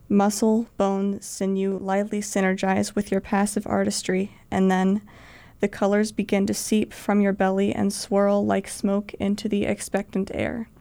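Young woman, late teens, for a poetry reading: even intonation, noticeable vocal fry. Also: medium pitch. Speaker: student writer. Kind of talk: reading own literary piece.

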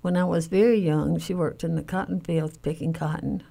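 An older woman shares recollections in conversation as an interviewee. Her voice is low and mellow, with a marked gravelly creak. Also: steady tone, normal speed. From Alabama, United States. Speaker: interviewee, family caregiver.

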